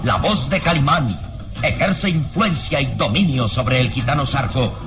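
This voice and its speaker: A man narrating a vintage radio drama from a script with dramatic, suspenseful and deliberate phrasing. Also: mid-range voice, expressive. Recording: radio serial with music behind.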